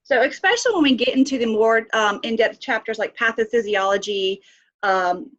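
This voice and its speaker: A woman's voice: fairly high pitch, moderate pace, a bit bright, highs cut off. A woman teaching an online class with a slight cold, asking students questions and using many fillers. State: has a cold, sniffly. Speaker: instructor.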